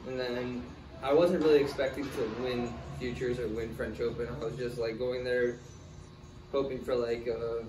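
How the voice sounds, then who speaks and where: A man's voice: medium pitch, moderate pace, noisy background. Laid-back young man, roomy distant sound. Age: young adult. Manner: relaxed, many fillers like "like" and "um". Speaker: guest, tennis player. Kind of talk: casual interview answers. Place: small room, in doors, seated in armchair. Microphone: far camera.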